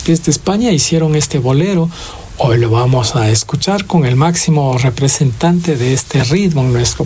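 A man's voice: mid-range voice, darkish tone, a little hiss or hum. A man with an Ecuadorian accent hosting a radio music show, greeting listeners and introducing songs in an effusive, sentimental, ornate way.

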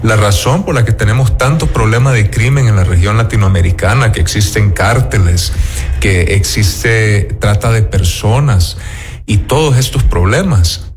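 A man's voice: on the low side, moderate pace, faint music behind. A man with a Salvadoran accent, an interview guest making assertive political arguments. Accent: Salvadoran Spanish.

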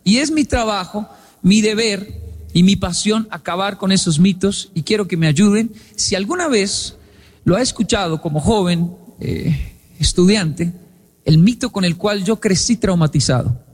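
High-voiced man telling stories. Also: a little slow, slightly rough, in a large hall. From Colombia. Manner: humorous, acts out quoted dialogue. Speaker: public speaker. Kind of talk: storytelling stage talk.

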